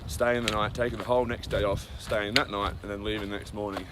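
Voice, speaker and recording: A man's voice: on the low side, level tone, fast, background noise. A man giving a casual, rambling daily update on a hiking trail, with many ums and side tangents. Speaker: long-distance hiker. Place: outdoors on a cold trail.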